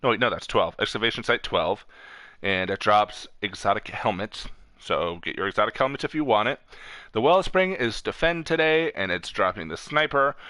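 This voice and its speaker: A man's voice: on the low side, expressive, normal speed, sharp tone, no room sound. A man hosting a casual gaming stream, reading off lists informally, stumbling over words and correcting himself. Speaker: streamer host.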